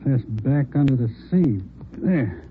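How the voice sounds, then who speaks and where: A man's voice: mid-range voice, expressive, slow, slight background noise. An actor playing a careful police lab man examining evidence, in an old radio drama. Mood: focused. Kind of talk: 1950s radio drama acting. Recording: tinny old radio broadcast.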